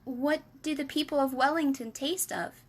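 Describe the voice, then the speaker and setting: A woman's voice: high voice, normal speed, pitched above the performer's own voice. A woman voicing a polite, curious, plucky little girl in a children's storybook reading. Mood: puzzled. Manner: questioning, polite.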